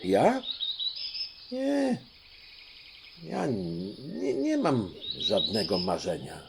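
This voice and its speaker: Man acting a flustered, stammering young prince, very animated. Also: on the low side, drawn-out words, vocal fry, noisy background, heavily acted. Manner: stammering, impatient. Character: young African prince, male, eager, hesitant, easily flustered. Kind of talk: audiobook storytelling.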